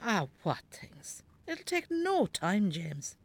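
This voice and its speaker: A woman's voice: medium pitch, sing-song, takes it slowly, breathy edge, exaggerated voice. An actress playing a sick, elderly Irish mother in a radio drama, demanding and teasing, with a plaintive, contrary manner. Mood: nagging, demanding. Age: older. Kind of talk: naturalistic radio drama acting.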